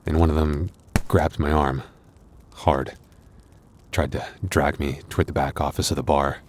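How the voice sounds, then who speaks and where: A man playing a wounded, tender and protective werewolf boyfriend in an intimate ASMR roleplay, speaking in a halting, softly earnest, weary way. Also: rumbling low, level tone, slight background noise. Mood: tense, recounting. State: weary, hurting.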